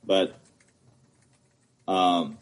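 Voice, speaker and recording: Halting Ukrainian-accented man, lowish voice. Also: fairly even intonation. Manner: halting, searching for words. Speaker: homeowner appealing a property assessment. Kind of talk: explaining at a hearing.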